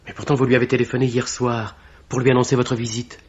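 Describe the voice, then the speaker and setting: An actor playing a young amateur investigator in a French radio mystery, putting questions in a measured, insistent way. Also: male voice, mid-range voice, flat delivery, close to an everyday voice. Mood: probing. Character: young amateur investigator, probing and level-headed. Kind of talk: French 1950s-style radio mystery acting. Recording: dull vintage radio-drama recording.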